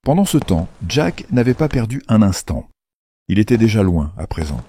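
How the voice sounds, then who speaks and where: Man, narrator, deep pitch, animated melody, theatrical, playful, children's fairy tale. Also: moderate pace, a little hiss or hum. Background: music under the voice.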